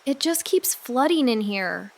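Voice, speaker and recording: A woman playing an anxious, skeptical sidekick sleuth in an audio drama, hesitant and questioning with deadpan humor. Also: fairly high pitch, measured pace, vocal fry, full character voice. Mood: deadpan worry.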